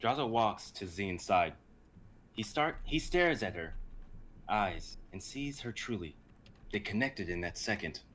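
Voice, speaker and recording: A man's voice: fairly low pitch, expressive, measured pace, a bit bright, a little hiss or hum, rough recording. A young man giving a dramatic narration of an amateur script. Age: young adult. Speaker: narrator, stream performer.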